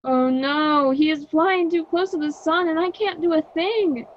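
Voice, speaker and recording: Woman as worried dad inventor in a radio myth, high slow earnest voice, bit lo-fi. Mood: alarmed. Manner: earnest, deliberate, instructive. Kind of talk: amateur student radio drama acting. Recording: slightly dull lo-fi recording.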